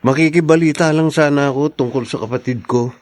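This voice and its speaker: A man's voice: fairly high pitch, a little fast, darkish tone, close to an everyday voice. A man playing a concerned, disapproving brother in a Tagalog radio drama, speaking earnestly.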